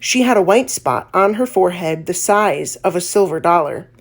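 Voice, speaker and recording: A woman's voice: fairly low pitch, moderate pace. Woman narrating a children's audiobook. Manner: expressive storytelling. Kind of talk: scripted children's story narration.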